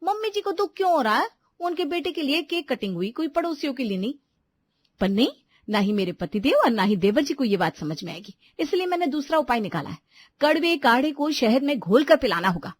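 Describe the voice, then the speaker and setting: A woman's voice: high voice, very animated, a little fast, no room sound. A woman voicing a crafty, sweet-talking young daughter-in-law in a family story, coaxing and persuading. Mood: scheming. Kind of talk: animated Hindi family story dialogue.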